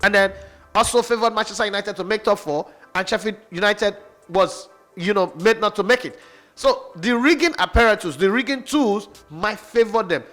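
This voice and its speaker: A man's voice: high-pitched, normal speed, a bit bright. A man arguing football on a sports radio show, boastful and mocking, with faint music behind. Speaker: football pundit. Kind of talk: heated sports radio debate.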